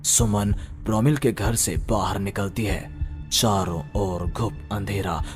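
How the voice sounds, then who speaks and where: A man's voice: fairly low pitch, takes it slowly. A man narrating a scripted horror story. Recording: music behind the voice.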